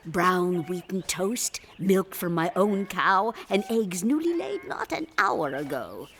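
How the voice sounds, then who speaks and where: A woman playing a kindly but firm cottage dame in an audio drama, gentle, patient and motherly. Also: lowish voice, very animated, slow pace, exaggerated voice. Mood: brisk, kindly. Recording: faint background noise.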